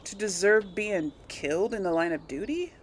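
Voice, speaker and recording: A woman's voice: mid-range voice, normal speed. Woman rambling through game commentary, background noise. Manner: rambling, thinking aloud. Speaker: let's play host. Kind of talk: casual gameplay commentary.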